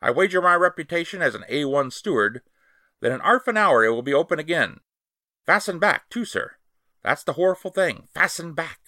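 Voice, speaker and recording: Nervous servile steward, voiced by a man reading, high and bright. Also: very animated, normal speed, no echo, studio quality. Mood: triumphant. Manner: wheedling, servile, dropped h's. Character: frightened cockney ship's steward, nervous.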